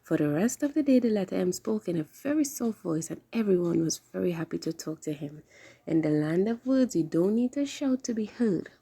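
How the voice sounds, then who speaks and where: A woman hosting a children's story time, reading aloud in an animated, expressive way. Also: medium pitch, fairly quick, creaky, breathy edge.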